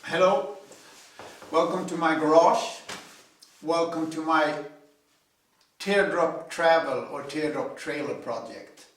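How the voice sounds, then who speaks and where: A man in his fifties with a Swedish accent, a native Swedish speaker, giving an informal, halting explanation to camera as a hobby builder. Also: on the high side, a bit bright. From Sweden. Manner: halting, deliberate. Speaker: hobby builder presenting own video series. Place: indoor home garage workshop. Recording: echoey garage, distant microphone.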